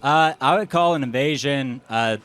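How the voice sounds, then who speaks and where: A man's voice: mid-range voice, moderate pace, a bit bright, clear, resonant tone. A young American man arguing politics live, blunt and combative, cutting in as he speaks. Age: young adult. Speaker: activist challenger from the audience.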